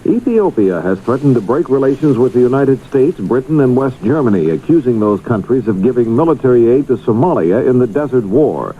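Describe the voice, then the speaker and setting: A man's voice: medium pitch, sing-song, a little fast, few pauses, narrow, tinny audio. A man reading scripted news as a radio anchor on a 1970s broadcast.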